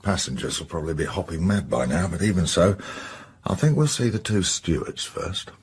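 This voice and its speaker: An actor playing a brisk, sceptical English police inspector, businesslike and colloquial as he questions people in a radio drama. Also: deep voice, lively intonation, slight background noise. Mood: probing, businesslike.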